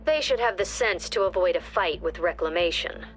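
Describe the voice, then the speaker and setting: An actress playing a stern commander briefing a tactical police squad in a clipped, authoritative way. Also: female voice, on the low side, lively intonation, normal speed, lightly acted. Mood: stern, businesslike. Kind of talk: scripted game briefing narration. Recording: music under the voice.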